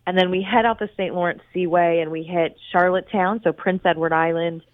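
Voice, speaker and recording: A woman with a lowish voice pitches cruise trips in an upbeat, fluent way. She is heard over a tinny phone line. The sound is dry, with no room around it. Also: steady tone, fairly quick, no echo. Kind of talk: promotional interview talk.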